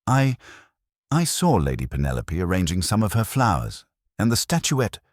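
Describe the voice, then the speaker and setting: Guy voicing a nervous flustered lady teacher, hesitant, low voice that jumps about, mystery story. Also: huge pitch swings, moderate pace, dry recording, clean studio sound, heavily acted. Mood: nervous, defensive. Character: anxious, flustered female schoolteacher. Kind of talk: acted dialogue in a narrated mystery story.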